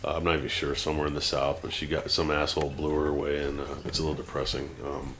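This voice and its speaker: Very deep-voiced man in his forties rambling. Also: little pitch movement, fast, background noise. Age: 40s. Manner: rambling, many fillers and restarts; grows heated and ranting. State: teary-eyed. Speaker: vlogger. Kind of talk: unscripted talk to camera.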